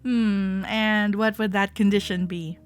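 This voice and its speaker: A woman playing an affectionate, gently teasing cuddler in ASMR roleplay audio, soft-spoken and often slipping into a breathy whisper. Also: medium pitch, fairly even intonation, a little slow, close to an everyday voice. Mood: flattered, playful. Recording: soft cafe jazz music behind. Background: soft background music.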